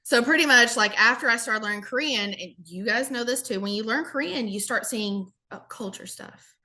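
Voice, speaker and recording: A woman from the American South with a Southern accent, a guest telling lively stories in a casual podcast chat. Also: fairly high pitch, normal speed. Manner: lively storyteller, acts out quotes, laughs often. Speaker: guest, former English teacher in Korea.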